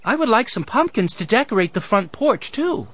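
A man's voice: sits high, very animated, moderate pace, a bit bright, a little hiss or hum, pitched above the performer's own voice. A man voicing a helpful, motherly twelve-year-old girl in a children's audiobook.

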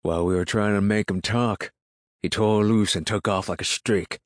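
A man voicing a gruff, ill-tempered rural farmer in an audiobook, grumbling in folksy, ungrammatical country speech. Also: lowish voice, steady tone, normal speed, no room sound, studio quality, voice pushed up, natural voice. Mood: indignant. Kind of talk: audiobook character dialogue.

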